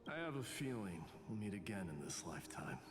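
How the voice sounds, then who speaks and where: An actor playing a proud, taunting warrior in a video game, speaking in a haughty, measured way. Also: male voice, fairly low pitch, very animated. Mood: teasing pride. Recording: echoey game audio with music.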